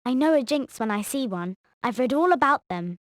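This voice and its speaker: A woman's voice: a little high, animated, moderate pace, dry recording, clean studio sound, natural voice. An actress playing a bookish, bossy young schoolgirl witch in a video game, earnest and precise. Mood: urgent. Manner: earnest, precise, know-it-all. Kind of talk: video game cutscene acting.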